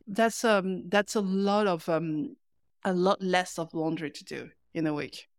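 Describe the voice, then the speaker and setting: A woman's voice: lowish voice, steady tone, unhurried, no room sound, studio quality. A woman co-hosting a podcast in casual conversation, explaining things hesitantly and often repeating words as she goes. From lives in Paris. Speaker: podcast co-host.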